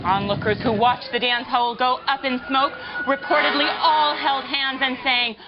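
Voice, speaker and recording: An actress as a polished female local news anchor with a fairly high voice, delivering parody bulletins completely deadpan. The game audio is dull and lo-fi, with music clearly behind her. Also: moderate pace, natural voice. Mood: deadpan newsreading. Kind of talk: scripted parody TV news report.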